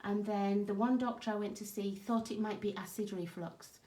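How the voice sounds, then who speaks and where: A woman with a croaky, hoarse voice from a cough, chatting casually with viewers by name as she hosts a craft live stream from her home craft room. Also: medium pitch, steady tone, normal speed, warm tone. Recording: echoey room.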